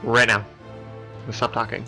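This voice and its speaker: Bright-voiced man doing hesitant game commentary. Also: fairly low pitch, flat delivery, normal speed. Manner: hesitant, apologetic, rambling. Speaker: let's play host. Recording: game music behind the voice. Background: video game cutscene music.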